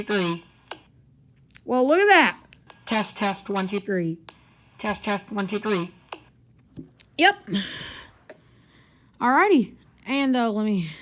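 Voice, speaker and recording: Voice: mid-range voice, fairly bright tone. A person giving a casual, rambling hands-on gadget review in an informal way. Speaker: product reviewer. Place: carpeted home living room.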